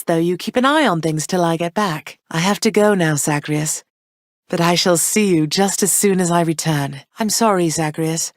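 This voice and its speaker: A gracious underworld queen and loving mother, played by a woman, speaking in a lowish, calm voice with warm reassurance. Her lines are polished game dialogue recorded dry and close, with no room sound. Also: no room sound, close to an everyday voice. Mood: tender. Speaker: game character.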